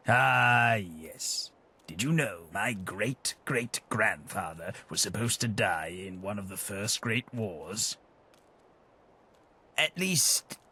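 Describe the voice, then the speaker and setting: An actor playing a genteel, long-winded British gentleman adventurer in a video game, pompous and rambling, with old-fashioned politeness and a husky voice. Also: low-pitched, exaggerated voice. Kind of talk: theatrical video game companion dialogue.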